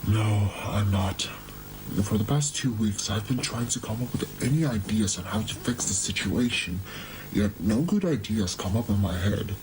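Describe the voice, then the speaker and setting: A man's voice: on the low side, expressive, normal speed, background noise, natural voice. A man voicing an exhausted, anxious monster queen who scolds her husband in an amateur horror drama. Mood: weary, distressed.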